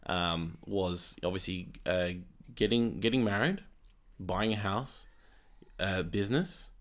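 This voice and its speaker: A man's voice: expressive, slow pace. A man co-hosting a casual podcast, talking earnestly and repeating words while he works toward his point. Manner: acts out quoted voices in a higher pitch. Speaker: podcast co-host. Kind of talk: casual chat.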